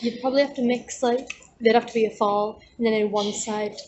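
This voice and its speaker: A woman's voice: fairly high pitch, fairly even intonation, a little fast, dull audio. A woman student, chatting casually in a group discussion. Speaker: student planning a radio drama. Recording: clearly noisy room recording.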